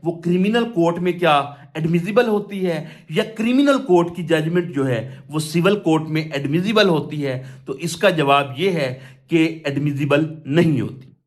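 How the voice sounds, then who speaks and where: Male law lecturer, Pakistani accent, high voice, unhurried delivery, didactic question-and-answer teaching. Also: expressive. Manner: didactic, asks and answers own questions, switches into English terms. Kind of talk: explanatory lecture, reading statute and explaining simply.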